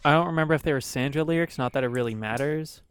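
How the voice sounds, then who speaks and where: A man with a smooth, clear voice co-hosts a casual album review chat. He talks bluntly and swears freely. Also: fairly high pitch, fast talker. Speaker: podcast co-host.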